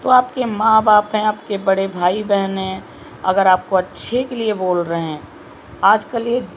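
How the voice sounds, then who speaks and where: Woman, sore throat, slow and preachy devotional talk, faint room hum behind. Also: mid-range voice. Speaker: spiritual video host.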